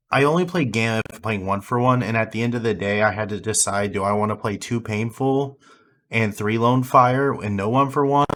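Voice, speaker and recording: A hoarse young man, having lost his voice, casually reviewing his card game deck with rambling fillers and restarts. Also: lowish voice, a bit bright.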